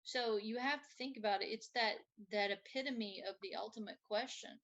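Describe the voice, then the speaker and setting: Female podcast host, bright and forward tone, conversational delivery. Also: a little high, fairly quick, muffled recording. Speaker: teacher. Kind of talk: casual conversation.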